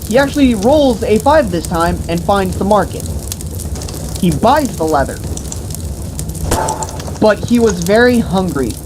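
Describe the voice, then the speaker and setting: A woman retelling a tabletop game as game master in a theatrical, mock-grandiose, crude comedic way. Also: mid-range voice. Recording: noisy room with steady background hiss.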